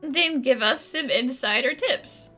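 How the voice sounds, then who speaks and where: A woman's voice: high-pitched, lively intonation, unhurried, music in the background. A woman playing a plucky, supportive young traveler, direct and confident, in a video game.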